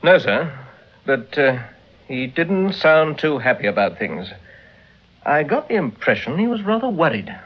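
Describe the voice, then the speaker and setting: A deferential British police inspector giving reports in a vintage radio play. His fairly high voice rises and falls a lot, on a dull old recording. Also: very animated, measured pace. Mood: earnest, reporting. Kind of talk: vintage radio drama acting.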